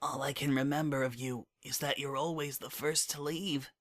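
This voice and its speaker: A man's voice: mid-range voice, steady tone, normal speed, a bit bright, voice pushed up, natural voice. A man voicing a brash young female pegasus pony, desperate and grieving, in a dramatic story reading. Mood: grieving, pleading. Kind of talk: dramatic fan fiction reading.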